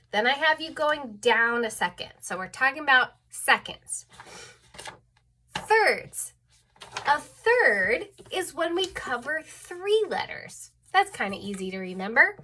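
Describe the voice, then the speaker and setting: A woman's voice: on the high side, pushed and bright. A woman teaching an online music theory lesson, a little stuffed up, patiently explaining and often checking in with "okay?".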